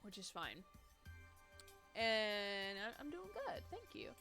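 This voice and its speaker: A woman's voice: medium pitch, huge pitch swings, slow, vocal fry, bright, forceful tone, soft background music. A young woman streamer who sounds congested, chatting casually and laughing as she plays a game live. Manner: chatty, laughs often, swears freely. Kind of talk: casual live gameplay commentary.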